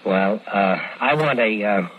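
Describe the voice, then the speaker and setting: A man's voice: fairly low pitch, measured pace, a bit bright, muffled recording. An actor playing a nervous man placing a big bet in a 1950s radio drama, hesitant and full of uh's.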